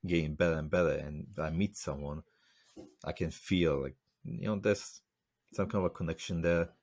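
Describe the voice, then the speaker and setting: Very deep-voiced man, rambling. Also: steady tone, normal speed, darkish tone. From Slovakia. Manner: rambling, storytelling with many fillers. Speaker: podcast host. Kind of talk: casual interview chat.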